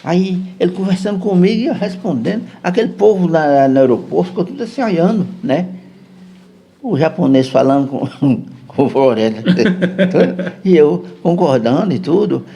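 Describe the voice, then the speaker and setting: A man's voice: a little high, expressive, moderate pace, vocal fry, dark tone, husky. A man with a Brazilian Portuguese accent, a podcast guest telling a long, rambling story and often checking in with his listener. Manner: chatty, keeps checking in with "né?".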